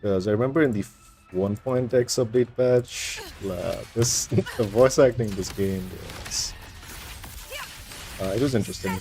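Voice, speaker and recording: A man's voice: on the low side, a little slow, darkish tone. A man streaming games, giving casual, rambling, laid-back commentary on his play. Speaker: game streamer. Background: faint game music.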